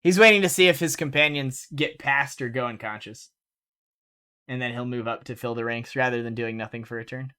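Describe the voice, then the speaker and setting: Game master, man, bright animated voice, loose chatty narration. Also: medium pitch, a little fast, clear, resonant tone. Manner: animated, laughs often, many fillers.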